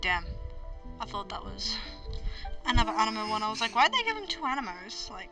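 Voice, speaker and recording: Casting: female streamer, high lively voice, blunt and casual, slightly off-mic. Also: fairly quick. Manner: blunt, irreverent, sweary. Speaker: game streamer. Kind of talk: casual live gameplay commentary. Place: indoors on a live stream. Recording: distant mic, game music behind.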